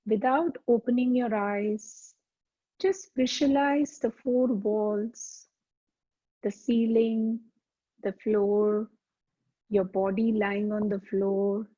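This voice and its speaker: A woman teaching yoga as a slow guided meditation, speaking calmly and deliberately with soothing pauses. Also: mid-range voice. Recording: dull, muffled lo-fi sound.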